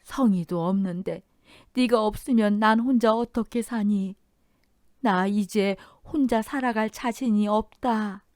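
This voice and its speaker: A woman's voice: mid-range voice, a little slow, a little mellow, dry recording, studio quality, pitched above the performer's own voice, lightly acted. A woman voicing a grieving elderly mother-in-law who speaks in a trembling, breathless voice. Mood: trembling plea. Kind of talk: audio drama storytelling.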